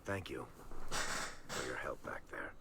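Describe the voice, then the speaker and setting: A man's voice: rumbling low, lively intonation, slow, pushed and bright, a little hiss or hum, exaggerated voice. An actor playing a wry, regretful male dwarf rogue in a fantasy video game, confiding in a self-deprecating way. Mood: subdued, resigned.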